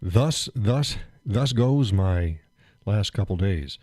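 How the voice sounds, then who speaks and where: A man hosting a live stream, chatting casually and rambling with fillers and chuckles, phlegmy and clearing his throat. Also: lowish voice, lively intonation, slow, warm tone, no room sound, studio quality. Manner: rambling, chuckling, thinks aloud with many fillers. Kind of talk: casual chat reading viewer comments.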